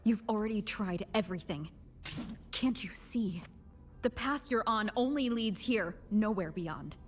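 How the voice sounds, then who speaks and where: An actress playing a firm young heroine in a video game, speaking earnestly and with stern emphasis. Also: female voice, mid-range voice, animated, unhurried, close to an everyday voice. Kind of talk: dramatic video game voice acting.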